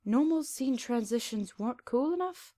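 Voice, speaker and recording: A woman's voice: a little high, animated, normal speed, warm tone, dry recording. A woman voicing a weary, sardonic male pony doctor who heckles a story in a dry, exasperated way. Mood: sarcastic exasperation.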